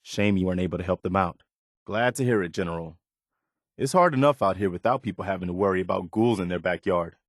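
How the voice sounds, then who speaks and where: A man's voice: low-pitched, quick pace, no echo, clean studio sound. A man playing an earnest, loyal militia officer in a video game, speaking sincerely and plainly with a sense of duty. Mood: concerned, earnest. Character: earnest, steadfast militia officer, loyal adult male companion. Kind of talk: acted video game dialogue.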